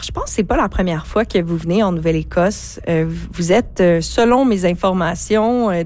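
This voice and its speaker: A woman hosting a radio interview, asking her guest questions in a measured way with some fillers. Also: on the low side, a little mellow.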